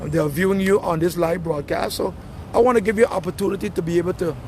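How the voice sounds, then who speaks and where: A man's voice: high-pitched, fast talker. A man hosting a livestream interview, prompting his guest with short questions. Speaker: livestream host. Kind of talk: street interview. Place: outdoors on a street. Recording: street noise. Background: traffic and passing planes.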